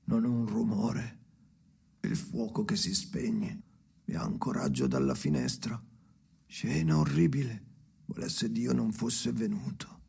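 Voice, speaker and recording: An actor playing a tormented, bitter ageing man in a radio drama monologue, raspy and often sinking to a whisper, speaking in slow, halting fragments. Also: lowish voice, darkish tone, exaggerated voice. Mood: bleak, hushed. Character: tormented ageing man haunted by his dead father, brooding, bitter.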